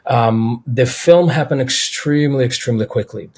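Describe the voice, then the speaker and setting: A man in his forties from Belarus, a native Russian speaker with a Belarusian accent, answering interview questions over a video call in a measured, thoughtful way. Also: medium pitch. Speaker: film director guest. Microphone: video call, webcam.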